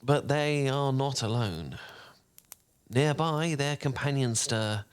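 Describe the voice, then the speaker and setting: A man playing a charming, learned wizard who tells a bedtime story in a soft, velvety, theatrical and slightly pompous voice, in a soothing fan roleplay. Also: mid-range voice, expressive, clear, resonant tone. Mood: hushed, dramatic. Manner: theatrical, eloquent, unhurried, gently pompous.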